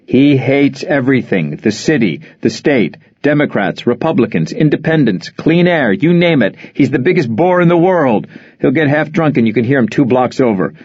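A man's voice: medium pitch, expressive, normal speed, darkish tone, no room sound, natural voice. A man voicing a grumpy, sarcastic middle-aged husband who grumbles and complains. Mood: grumbling rant. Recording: dull, dry studio audio.

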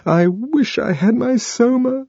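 A man voicing a distraught young woman who pleads in a high, tearful voice. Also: lively intonation, unhurried, dark tone, husky, no echo, lo-fi sound, heavily acted.

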